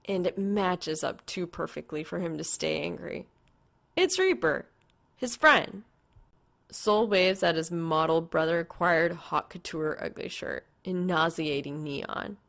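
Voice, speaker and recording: Woman, fan fiction reader, lowish pitch, noticeable vocal fry, scripted storytelling. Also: measured pace, a bit bright.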